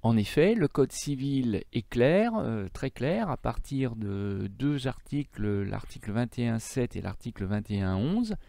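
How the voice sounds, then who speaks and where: A man's voice: medium pitch, animated, measured pace, creaky, few pauses, mellow, no room sound, clean studio sound. A man giving a recorded lesson as a middle school teacher, explaining didactically and stressing key points. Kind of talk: recorded lecture for students.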